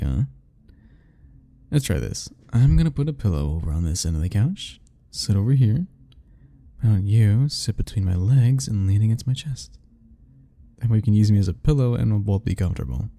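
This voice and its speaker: A man's voice: lowish voice, big pitch swings, normal speed, vocal fry, long pauses, dark tone. A young man speaking a playful, teasing ASMR roleplay straight to the listener, laughing often between lines.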